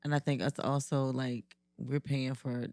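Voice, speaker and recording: A woman with a raspy voice co-hosting a podcast in casual chat, playful and quick to laugh while teasing back. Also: a bass voice, flat delivery, dark tone, dry recording, clean studio sound. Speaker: podcast co-host.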